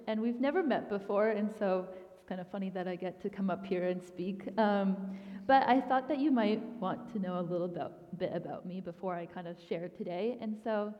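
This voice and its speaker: A young woman gives a casual guest talk at a church, chatty and informal with plenty of "um" and "like." Her voice rings with a long hall reverb, and the recording sounds somewhat dull and lo-fi. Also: medium pitch. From grew up in Thailand. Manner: chatty, frequent "um" and "like". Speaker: youth ministry director, guest speaker.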